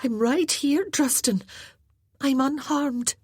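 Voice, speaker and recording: A woman's voice: sits high, takes it slowly, a little mellow, no room sound, clean studio sound, pitched above the performer's own voice. A woman voicing a frightened but brave young bride, speaking in raised, urgent pleas. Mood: gentle, coaxing.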